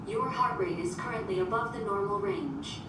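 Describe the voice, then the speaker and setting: A woman's voice: fairly high pitch, normal speed, natural voice. A woman playing a polite, literal shipboard artificial intelligence in a science fiction audio drama, speaking formally and without emotion. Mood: calm, procedural. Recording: roomy echo, distant, heavy noisy ambience.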